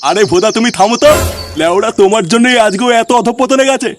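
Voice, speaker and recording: A man's voice: high-pitched, quick pace, music in the background, natural voice. A man voicing a hot-tempered, foul-mouthed ageing father in a comedy cartoon. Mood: angry scolding. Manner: scolding, profane. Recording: clearly noisy track.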